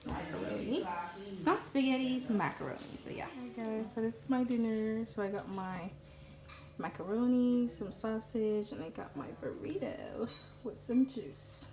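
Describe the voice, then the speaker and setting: A woman's voice: fairly high pitch, moderate pace, background noise. A young woman with a Jamaican accent chatting casually to her vlog audience, recorded on a handheld phone. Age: young adult. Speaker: vlogger. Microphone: phone at arm's length. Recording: handheld phone vlog, loud background voices.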